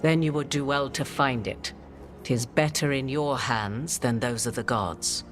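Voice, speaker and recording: An actress playing an arch, enigmatic sorceress in a video game, with haughty, theatrical, archaic phrasing. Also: female voice, a bass voice, measured pace, soft background music. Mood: grave, advising.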